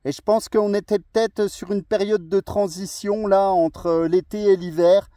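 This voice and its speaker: High-pitched Frenchman chatting on radio. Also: fairly even intonation, normal speed, dark tone, no room sound, studio quality. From France. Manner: chatty, friendly, tag words at phrase ends. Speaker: amateur radio operator. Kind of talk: casual radio contact chat.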